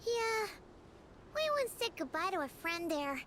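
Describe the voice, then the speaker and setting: A woman voicing a tiny, excitable fairy companion in a video game, childlike, blunt and exclamatory. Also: extremely high pitch, measured pace, background noise. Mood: earnest.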